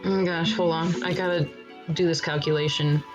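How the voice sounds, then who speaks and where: A woman streaming a game in a relaxed, rambling chat, with a froggy morning voice and frequent laughter. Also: low voice, moderate pace, muffled recording. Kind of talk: casual live-stream chat while gaming. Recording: loud background music over the voice.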